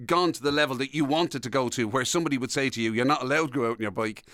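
A man's voice: mid-range voice, racing, fairly bright tone, no echo, studio quality. A middle-aged Irish man co-hosting a radio podcast, steering casual banter. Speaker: radio show co-host. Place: radio office studio.

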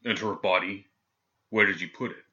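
A man voicing a cold, sadistic male governor general who speaks coolly and with calm menace. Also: sits low, normal speed, lo-fi sound. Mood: cold menacing. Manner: cool, clipped, menacing.

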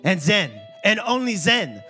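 A man's voice: sits high, big pitch swings, a little slow, bright, forceful tone, faint music behind, pitched above the performer's own voice, exaggerated voice. A man voicing an amorous, arrogant French cat in a French accent, reading love letters with florid melodrama. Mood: amorous, grandiose. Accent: put-on French.

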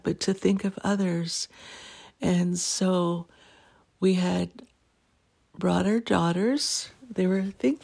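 A middle-aged woman from Canada, hosting a podcast and telling a family story in casual chat, clearing her throat as she loses her voice. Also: lowish voice, darkish tone. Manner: laughing, self-deprecating jokes. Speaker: podcast host.

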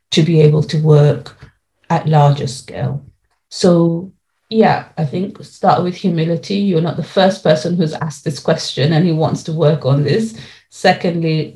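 A woman's voice: sits low, mellow. Earnest woman giving interview answers. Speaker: interview guest, education policy lead.

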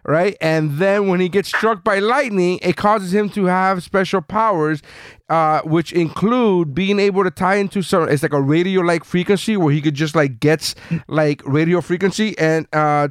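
A man in his late thirties with a fairly high, clean voice hosts a casual panel. He rambles through jokey stories and swears freely. The sound is close and dry. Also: clean tone, no room sound. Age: late 30s. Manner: profane, jokey, rambling storytelling. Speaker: podcast panel host. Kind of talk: casual chat about comic books.

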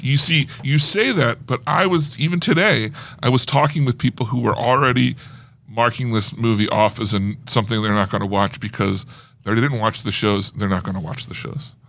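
A man co-hosting a casual podcast discussion of films, talking in an animated, conversational way. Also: medium pitch, moderate pace, vocal fry. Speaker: podcast co-host. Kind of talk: casual movie trailer discussion.

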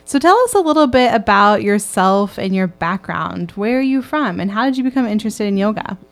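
A woman's voice: medium pitch, expressive, brisk. A woman hosting a podcast, asking a guest friendly, curious questions. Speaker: podcast host. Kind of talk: interviewing a guest.

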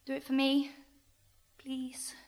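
An actress playing a grown daughter in debt in a radio play, talking softly and pleadingly to her father. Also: sits high, completely flat delivery, slow pace, vocal fry, exaggerated voice. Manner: pleading, soft, wheedling.

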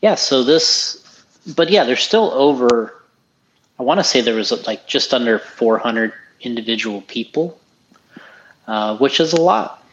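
A man's voice: mid-range voice, expressive, a little slow, fairly bright tone, dull audio, slight background noise. A man co-hosting a casual podcast, chatting about an RC race in a loose, rambling way with lots of restarts. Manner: rambling, restarts often, easygoing. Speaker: podcast co-host. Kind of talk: casual chat, race commentary.

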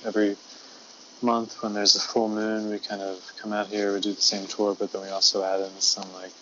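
A man playing a deadpan male ghost-tour guide who talks low and quietly, rambling with lots of fillers. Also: fairly quick, highs cut off, slight background noise.

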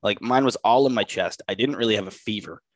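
A man's voice: fairly low pitch, fast talker, no echo, clean studio sound. A man co-hosting a podcast, talking in a casual chat, exasperated and ranting, with a stuffy head cold. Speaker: podcast co-host.

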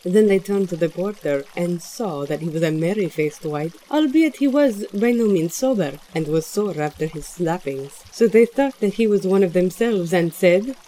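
A woman's voice: lowish voice, lively intonation, normal speed, few pauses, dark tone, poor audio. A woman reading scripted storytelling narration of an old tale. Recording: music behind the voice.

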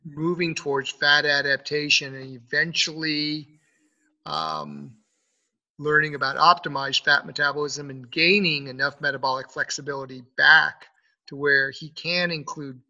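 Very bright, forceful male host, creaky. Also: a little high, muffled recording. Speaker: podcast host giving introduction. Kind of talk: unscripted spoken introduction.

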